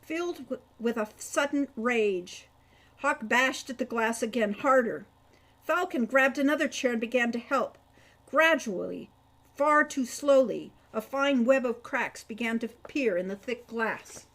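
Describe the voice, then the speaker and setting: A woman's voice: a little high, animated, measured pace, a bit bright. A woman reading a scripted story aloud as narrator, with a dramatic storytelling delivery. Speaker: author reading own audiobook chapter.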